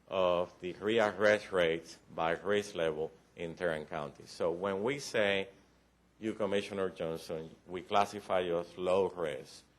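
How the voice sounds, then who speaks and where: A man with a very low, deep voice and a Cuban accent gives a formal briefing in a county meeting room. He explains patiently, often restarting phrases, and adds a little light humor. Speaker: presenter to county commissioners.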